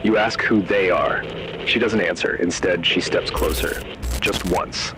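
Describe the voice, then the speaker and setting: A man narrating a scripted horror story in the second person, in short, measured, suspenseful phrases. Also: deep voice, low-quality recording. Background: music.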